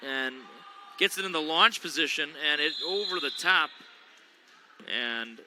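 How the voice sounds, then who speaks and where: Man, play-by-play announcer, chatty, joking, very bright tone, faint gym noise and ball bounces. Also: fairly high pitch, animated, unhurried, rough recording. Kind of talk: local sports commentary. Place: school gym. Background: gym ambience, bouncing basketball.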